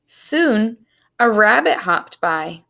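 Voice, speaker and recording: A woman reading a children's storybook aloud as narrator, with simple, deliberate phrasing. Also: mid-range voice, sing-song, gravelly, sharp tone.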